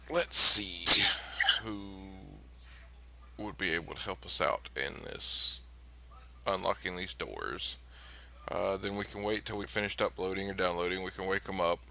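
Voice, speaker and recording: Low, bright-edged male player. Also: creaky, a little hiss or hum. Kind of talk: casual tabletop game chat.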